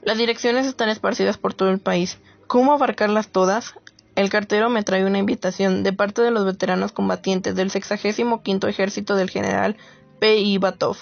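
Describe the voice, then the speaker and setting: A woman's voice: medium pitch, fairly even intonation, a little fast, vocal fry, close to an everyday voice. An actress playing a reflective woman writer, narrating a radio drama from a script. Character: reflective woman writer gathering war memories. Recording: muffled lo-fi audio under music.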